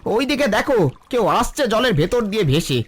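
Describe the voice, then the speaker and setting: A man's voice: sits high, very animated, brisk, a bit bright, full character voice. A man playing a brave, selfless village father and riverman in a cartoon, firm and urgent. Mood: urgent, determined. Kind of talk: cartoon dialogue.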